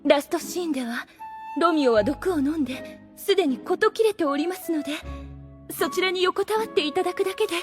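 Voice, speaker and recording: A woman's voice: very high voice, brisk. A woman playing a devoted, lovestruck female servant in an anime audio drama, speaking very politely and theatrically. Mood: delighted, eager. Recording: music behind the voice.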